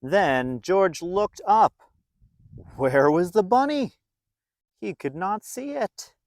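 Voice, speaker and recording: A man reading a story aloud to young children in a playful, expressive way, with dramatic emphasis and drawn-out words. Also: high voice, dry recording, clean studio sound.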